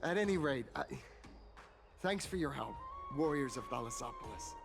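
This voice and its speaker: A gravelly, stammering eager explorer. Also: male voice, medium pitch, moderate pace. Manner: stammering, excitable. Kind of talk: video game voice acting. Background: game music.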